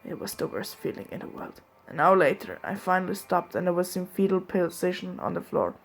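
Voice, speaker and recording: A woman's voice: lowish voice, big pitch swings, fairly quick, slightly rough. A young woman reading fanfiction aloud in the first person, sometimes giggling and breaking off to joke, with faint music behind her. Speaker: fanfiction reader.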